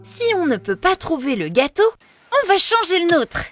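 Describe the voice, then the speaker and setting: An upbeat young cartoon pet girl, extremely high and very animated in melody. She is confident and resourceful, with light music behind her. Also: normal speed, heavily acted. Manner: confident, take-charge. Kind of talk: French children's cartoon dubbing.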